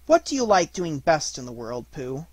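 A man's voice: a little high, big pitch swings, normal speed, breathy edge, dry recording. A man voicing a friendly young boy from a children's storybook, speaking simply and directly.

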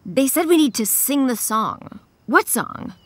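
A woman's voice: high voice, very animated, unhurried, heavily acted. A woman voicing a gloomy, sardonic girl turned talking book in a children's cartoon, with a dry delivery. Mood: uneasy.

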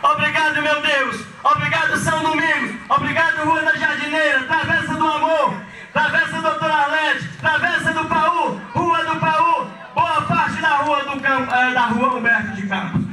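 High-voiced man speechifying over PA. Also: steady tone, moderate pace, over music. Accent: Brazilian Portuguese, from Maranhão. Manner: declamatory, often raising his voice. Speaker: local community leader giving a speech. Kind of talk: outdoor public speech at a community event. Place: outdoors at night on a street, crowd around. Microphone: handheld mic into a PA system. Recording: echoey PA sound from a far camera, loud ambience.

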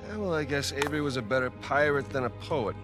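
A man's voice: mid-range voice, fast talker, over music, natural voice. An actor playing an eager, curious male treasure hunter in a video game cutscene, full of questions.

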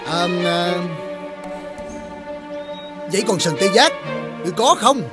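An actor with a Southern Vietnamese accent playing a haughty, blustering marquis in a fairy-tale costume film, theatrical and halting. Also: male voice, sits high, animated, over loud music. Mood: haughty probing. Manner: theatrical, halting with drawn-out hesitations. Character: haughty, blustering male nobleman marquis, sore loser.

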